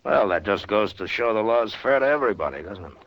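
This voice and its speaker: Man playing deep-voiced, steady frontier marshal. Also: dry recording, lightly acted. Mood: dry, unruffled. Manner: terse, deliberate, dryly understated. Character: steady, unruffled frontier marshal, adult man, quietly firm. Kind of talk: 1950s radio western acting and narration. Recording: dull vintage radio recording.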